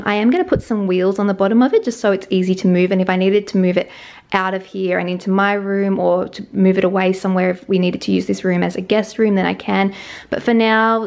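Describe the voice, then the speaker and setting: A young woman in her twenties with an Australian accent, slightly hoarse, chatting scatterbrained and self-mocking as she films an apartment tour on a handheld camera. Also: medium pitch. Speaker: lifestyle vlogger. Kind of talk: casual apartment tour vlog. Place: small apartment indoors and balcony. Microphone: handheld camera at arm's length.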